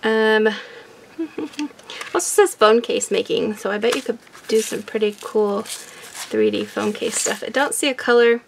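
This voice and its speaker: A woman hosting a casual craft unboxing, chatty and excitable, her voice raspy from a recent illness. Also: fairly high pitch, measured pace, long pauses, background noise. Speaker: craft channel host. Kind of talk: casual product unboxing chat.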